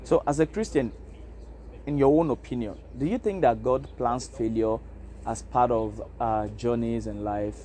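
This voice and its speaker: Young Nigerian man, mid pitch, hesitant reflective vlog delivery, Nigerian accent, noisy street background. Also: measured pace, warm tone. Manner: hesitant, reflective, many fillers. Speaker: vlogger. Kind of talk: casual self-interview monologue.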